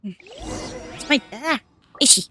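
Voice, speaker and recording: A woman's voice: sits high, wildly swooping pitch, slow, sharp tone. A woman giving casual, blunt, off-the-cuff commentary while streaming a game. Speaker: gameplay streamer reacting.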